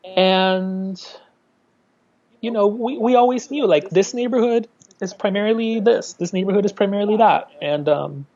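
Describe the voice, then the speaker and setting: A man's voice: high-pitched, moderate pace, a little mellow, muffled recording. A man in his thirties, an artist from Miami, talking candidly in an interview, often restarting his sentences. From Miami, Florida. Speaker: artist, guest. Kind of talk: conversational interview.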